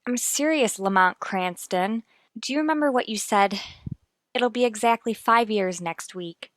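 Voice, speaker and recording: Hero's worried female confidante, played by an actress: slightly high voice, pleading, sincere, old-time radio melodrama. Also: measured pace, no room sound, lightly acted. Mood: worried, serious. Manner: earnest, pleading. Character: worried, devoted female companion of a hero.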